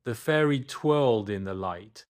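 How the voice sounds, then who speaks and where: A man's voice: lowish voice, animated, unhurried, a little mellow. A man reading a scripted children's bedtime story as its narrator.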